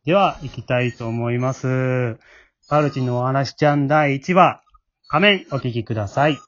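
A man's voice: medium pitch, moderate pace, fairly bright tone, lo-fi sound. A man hosting a casual chat, polite and explanatory. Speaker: host and scriptwriter of an amateur drama group. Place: at home, remote recording.

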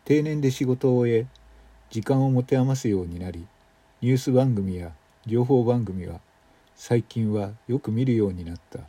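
A man's voice: mid-range voice, moderate pace, mellow, slightly rough, no room sound, natural voice. A man playing a retired older man in a radio drama, reflective and muttering in a colloquial way. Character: retired old man, weary and grumbling, reflective.

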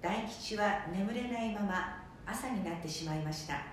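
A woman narrates a radio drama in a very echoey room, a little far from the mic. There is faint noise around her mid-pitched voice. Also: a little slow.

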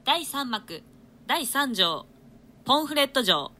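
Female narrator for play reading: higher-pitched, animated, bright delivery of stage directions. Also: takes it slowly, a little hiss or hum.

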